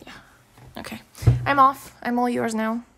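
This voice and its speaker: A woman's voice: a little high, steady tone, a little slow, vocal fry, bright, forceful tone, slight background noise. A young woman speaking in a casual, intimate girlfriend roleplay, teasing, slangy and affectionate. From Eastern Europe. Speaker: ASMR roleplay performer playing a girlfriend.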